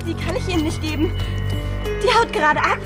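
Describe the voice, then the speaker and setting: An actress playing an excitable teenage schoolgirl, urgent and alarmed, in a German radio drama. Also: very high voice, brisk, over loud music.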